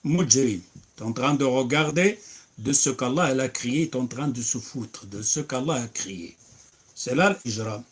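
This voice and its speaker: A man's voice: mid-range voice, moderate pace, husky. A man preaching and answering questions, emphatic, breaking into recited Arabic verses. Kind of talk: religious Q&A.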